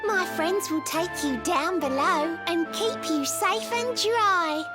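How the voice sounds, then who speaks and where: Very high, girlish mermaid maiden. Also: slow pace. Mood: fond, reassured. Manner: speaks in sing-song rhyming verse. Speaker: video game character actor. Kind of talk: rhyming theatrical cutscene dialogue. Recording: loud music over the voice. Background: loud game soundtrack music.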